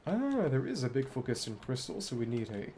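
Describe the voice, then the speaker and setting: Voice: medium pitch, big pitch swings, brisk, background noise. A game streamer giving casual commentary while playing.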